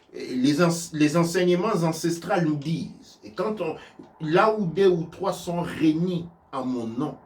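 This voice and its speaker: Halting man teaching, mic set back. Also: on the high side, distant mic. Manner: halting, repeats words and restarts, swings from loud to soft. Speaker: spiritual teacher. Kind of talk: religious teaching in a live stream.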